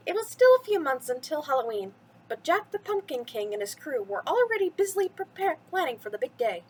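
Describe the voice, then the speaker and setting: Female storytime host, high voice with very lively pitch, chatty delivery aimed at kids. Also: a little fast, a little hiss or hum, poor audio. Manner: animated, chatty, addressing children directly. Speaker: host reading bedtime stories for children. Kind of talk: storybook reading and chatty video introduction.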